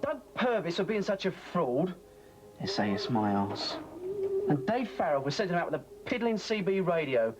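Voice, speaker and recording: A man's voice: on the high side, big pitch swings, moderate pace. An actor with a British accent playing a harried male radio DJ who grumbles sarcastically and feels sorry for himself. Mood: sarcastic. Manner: sarcastic, self-pitying grumbling. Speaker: actor in a TV drama. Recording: dialogue under loud music.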